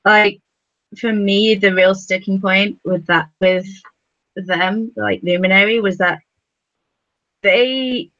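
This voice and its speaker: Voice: medium pitch, fairly even intonation, slow, long pauses, bright, forceful tone, dry recording. A podcast panelist talking casually in a group discussion, on a somewhat lo-fi recording.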